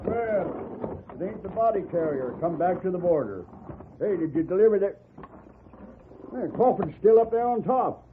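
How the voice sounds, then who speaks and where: Higher-pitched, wary frontier lawman, crackly radio. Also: animated, normal speed, pauses often. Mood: surprised. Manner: folksy, blunt, wary. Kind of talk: old-time radio western acting. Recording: noisy, tinny old radio recording.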